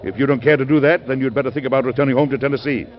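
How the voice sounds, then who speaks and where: An actor playing a combative old president. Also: male voice, mid-range voice, fairly even intonation, very fast, close to an everyday voice. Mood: stern. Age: older. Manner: blunt, forceful, commanding. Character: stubborn older male president, combative and loyal. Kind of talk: 1940s radio acting. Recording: dull old radio recording.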